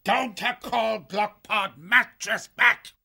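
A man voicing a fierce, mad warrior bird in an audiobook, boastful and menacing, with a raspy, strained voice. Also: sits high, fairly even intonation, bright, forceful tone, voice pushed up, exaggerated voice. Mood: menacing, indignant. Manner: menacing, drawn-out, boastful war cries. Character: fierce, mad-eyed male shrike, a warlike butcher bird. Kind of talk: audiobook character voice.

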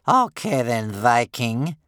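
A man voicing a bitter, sceptical old grandmother in a storybook, stern and sarcastic, with a slow, spitting delivery. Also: mid-range voice, very animated, fairly bright tone, no echo, studio quality, voice pushed up. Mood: stern, commanding.

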